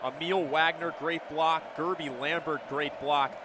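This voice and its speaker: An American man with a moderately high voice offers radio football analysis. His delivery is conversational and opinionated, filling the gaps between plays. Stadium noise is clearly audible behind him. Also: fairly even intonation, a little slow. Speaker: radio color commentator. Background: outdoor stadium ambience.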